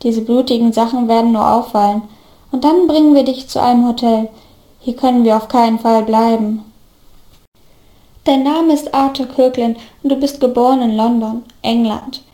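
A woman voicing a devoted, earnest young man in storybook dialogue, gently reassuring his best friend. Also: fairly high pitch, fairly even intonation, normal speed, echoey room, close to an everyday voice. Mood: caring, practical.

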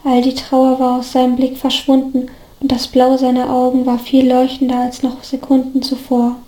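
A woman's voice: high-pitched, completely flat delivery, normal speed, mellow, reverberant, rough recording. A woman narrating a scripted story.

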